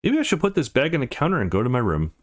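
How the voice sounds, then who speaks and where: A man's voice: medium pitch, wildly swooping pitch, very fast. A man voicing the self-assured male protagonist of a visual novel, reading his thoughts and lines casually and expressively. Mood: relieved, musing. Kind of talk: reading game narration and dialogue.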